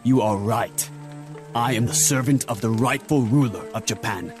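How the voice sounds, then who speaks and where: Game role: young samurai general male actor. Loyal, proud, solemn, medium pitch, commanding formal delivery over a clear musical score. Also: moderate pace, over music, lightly acted. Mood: stern. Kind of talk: dramatic game cutscene acting.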